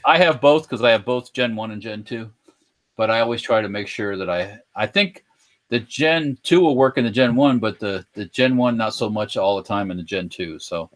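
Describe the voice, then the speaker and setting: A man's voice: fairly low pitch, normal speed. A man co-hosting a podcast, chatting casually and hesitantly, often trailing off. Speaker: podcast co-host. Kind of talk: casual chat about firearms.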